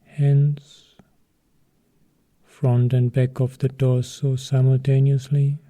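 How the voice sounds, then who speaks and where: A man leading a guided meditation as a teacher, speaking calmly and in short, measured phrases with long pauses. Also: medium pitch.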